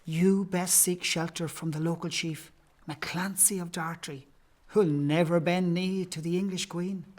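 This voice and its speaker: A man's voice: high-pitched, steady tone, a little slow, darkish tone. A man playing a kindly, solemn Irish priest in an audio play, speaking in archaic, declamatory phrases.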